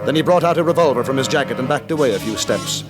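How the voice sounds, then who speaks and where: A man's voice: medium pitch, lively intonation, quick pace, natural voice. A composed, wry ex-cavalry officer played by a male actor tells his story in an old radio western. His delivery is understated yet widely inflected, with music around the vintage recording. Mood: grave narration. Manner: understated, dryly laconic. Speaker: lead actor and first-person narrator. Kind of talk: old-time radio western acting and narration.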